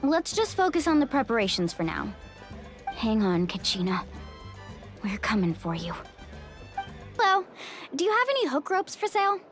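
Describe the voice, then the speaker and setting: Bright-spirited young heroine, high and animated. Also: female voice, moderate pace, pauses often. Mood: determined. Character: earnest, determined young female adventurer, polite and direct. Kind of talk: video game voice acting. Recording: faint music under the voice.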